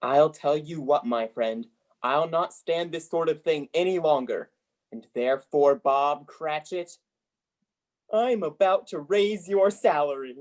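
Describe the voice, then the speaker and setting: Young actor as old reformed miser, hearty, exclamatory, courtly phrasing, mid-pitched, radio drama. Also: measured pace, a little mellow, lightly acted. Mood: mock stern. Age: young adult. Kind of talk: 1930s radio play acting.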